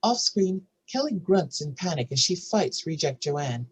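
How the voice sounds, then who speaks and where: A woman with a husky voice narrating screenplay stage directions in a scripted reading. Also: sits low, sing-song, unhurried.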